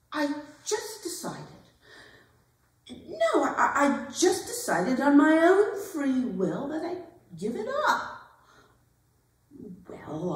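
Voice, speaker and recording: High-pitched gushing debutante, echoing hall. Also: female voice, heavily acted. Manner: frantic gaiety, exclamatory, dramatic pauses. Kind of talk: theatrical monologue, staged reading. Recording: very echoey hall, distant mic.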